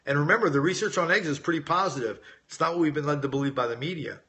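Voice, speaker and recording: Bright-voiced American man chatting live. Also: fairly high pitch, very fast. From United States, grew up in Las Vegas. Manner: informal, conversational, addresses viewers directly. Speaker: weight-loss coach hosting a live Q&A. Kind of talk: casual live-stream chat, reading out viewer questions and answering.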